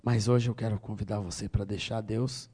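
A middle-aged Brazilian man with a Brazilian Portuguese accent preaching a sermon, swinging from loud high exhortation to quiet low tones. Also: flat delivery, fairly quick, breathy edge. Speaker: pastor.

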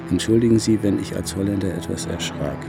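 A man playing a fussy music researcher who reads out his written report in a measured, dry, pedantic way, as in a radio play. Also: low-pitched, creaky. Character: Dutch male music researcher reporting by letter, dryly fussy. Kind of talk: letter read as scripted radio-play narration.

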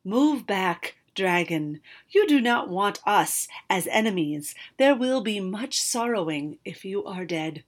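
A woman voicing a tall, cold sorceress in a storybook reading, calm and menacing. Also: mid-range voice, slow, hardly any pauses.

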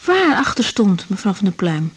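A woman's voice: mid-range voice, sing-song, moderate pace, breathy edge. A woman acting in a radio drama as a cautious young doctor, polite and probing, with hesitant speech. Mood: hesitant, professional. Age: young adult. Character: cautious young female doctor questioning a patient.

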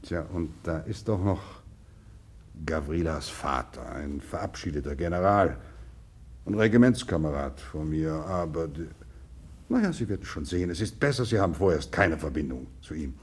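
Deep, husky patronising general, swooping delivery. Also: male voice, animated, moderate pace, full character voice. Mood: patronising, evasive. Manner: hesitant, patronising, jovial formality. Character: condescending, evasive older male general and patron. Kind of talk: 1950s German radio drama acting.

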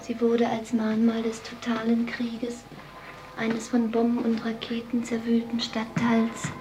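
High, even-voiced ceremonious female guide played by an actress. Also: background noise, close to an everyday voice. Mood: solemn, formal. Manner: formal, measured tour-guide delivery. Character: ceremonious female guide of a sci-fi pleasure house. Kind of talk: scripted radio drama acting. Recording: music behind the voice.

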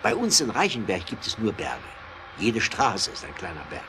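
A man's voice: lowish voice, huge pitch swings, normal speed, background noise. A man acting an adventurous aristocratic motorist in a German radio play, thoughtful, confident and resolute. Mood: pondering, resolute.

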